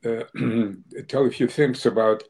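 A man's voice: medium pitch, fairly even intonation, slow, darkish tone. Hesitant older man. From born in Ukraine, came from Russia. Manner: hesitant, many fillers and restarts. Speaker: guest. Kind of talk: interview answers.

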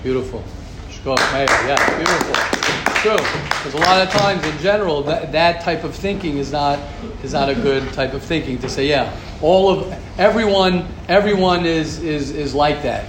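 A man teaching a Torah class, taking questions and acting out quoted gossip in a lively, repetitive way. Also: fairly high pitch, moderate pace. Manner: animated, acts out quoted gossip, repeats words. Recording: echoey room, noisy ambience.

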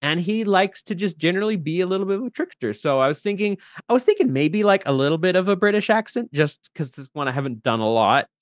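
A man chatting casually as a podcast guest, animated and thinking aloud as he goes. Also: high voice, quick pace, hardly any pauses, no echo, clean studio sound. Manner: animated, thinks aloud about character voices. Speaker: podcast guest, tabletop role-player. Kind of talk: casual chat.

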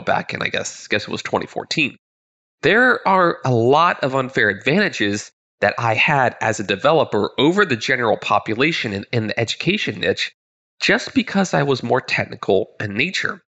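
A man's voice: medium pitch, creaky, bright, forceful tone, dull audio. Congested, crackly-voiced male podcast host. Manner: upbeat, conversational, with frequent word repeats. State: congested, slightly nasal from illness. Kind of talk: solo podcast monologue.

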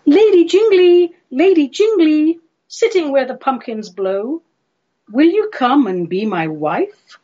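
A woman voicing an earnest, lovelorn little male creature in a comic poetry recital, theatrical and pleading, with drawn-out phrases. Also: high voice, sing-song, mellow, voice pushed up, exaggerated voice. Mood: pleading, lovestruck. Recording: dull lo-fi recording.

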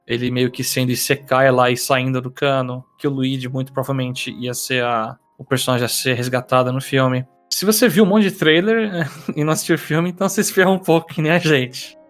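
A man co-hosting a casual film review podcast, animated and acting out quotes as he tells stories. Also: mid-range voice, fairly quick, soft background music. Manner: animated storyteller, acts out quotes in raised voice. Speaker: podcast co-host.

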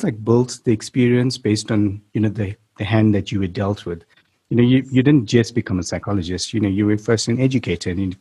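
A man's voice: lowish voice, dark tone, slightly rough. A man hosting an interview, rambling a little as he reflects back on the guest's story. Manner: rambling, heavy use of "you know" fillers. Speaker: podcast host. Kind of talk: conversational interview.

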